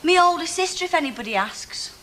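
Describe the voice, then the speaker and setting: Chatty landlady type, really high bright voice, bouncy. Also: lively intonation, fairly quick, slight background noise, full character voice. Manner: chatty, homely, colloquial. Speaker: woman posing as a landlady.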